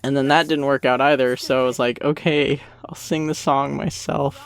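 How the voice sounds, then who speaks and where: A man's voice: mid-range voice, steady tone, normal speed, vocal fry, smooth. A young man hosting a casual audio commentary, talking in an informal, amused, chatty way. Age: young adult. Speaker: commentary host, series creator. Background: faint music from the episode.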